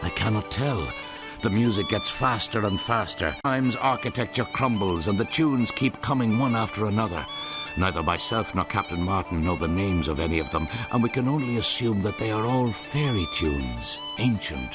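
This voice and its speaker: A man's voice: lowish voice, animated, normal speed, music in the background, close to an everyday voice. A man narrating his own story in a radio drama, in a wry storytelling manner. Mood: reflective. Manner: wry, storytelling, first-person recollection. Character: man looking back on his own wild night.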